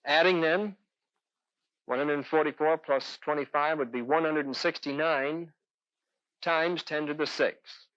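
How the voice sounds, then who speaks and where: A man's voice: a little high, a little slow, a bit bright, dry recording. Male instructor, dull vintage film sound. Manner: methodical, step-by-step explaining. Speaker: electronics instructor.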